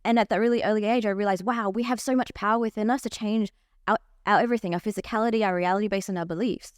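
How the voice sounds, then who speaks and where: A woman's voice: mid-range voice, fast, no echo, studio quality. A young woman, a coach telling her story openly in a podcast interview. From Australia. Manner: open, animated storytelling. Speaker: life coach guest.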